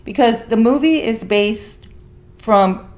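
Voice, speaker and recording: A woman's voice: a little high, slow pace, a little mellow. A woman hosting a podcast in casual conversation, rambling as she repeats and rephrases herself. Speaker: podcast host.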